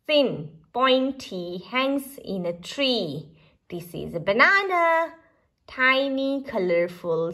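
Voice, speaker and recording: Woman, children's storyteller, high voice, very lively pitch, expressive picture-book read-aloud.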